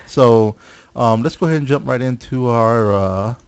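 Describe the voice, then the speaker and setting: A man in his thirties with a Kentucky accent chats on a podcast, joking and rambling. His voice sits at a middling pitch for a man. He talks in a loose, playful way. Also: moderate pace, muffled recording, over music. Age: 30s. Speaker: podcast co-host. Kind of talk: casual chat.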